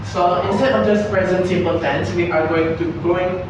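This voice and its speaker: A young man teaching a mock class, reading drill sentences aloud in a measured, deliberate way. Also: high-pitched, steady tone. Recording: echoey room, distant mic, background noise.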